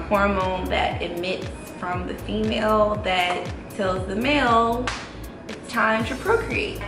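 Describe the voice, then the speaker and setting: A young woman hosting a casual vlog, chatting through facts with light giggles. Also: medium pitch. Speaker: vlog host. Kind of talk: casual chat. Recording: roomy echo with music behind.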